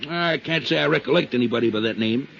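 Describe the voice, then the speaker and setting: An actor playing a folksy, slippery used car dealer in a 1950s radio drama, with blustering homespun sales patter. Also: male voice, medium pitch, fast talker, vocal fry, a bit bright, a little hiss or hum. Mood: affable, evasive. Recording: dull 1950s radio recording, music.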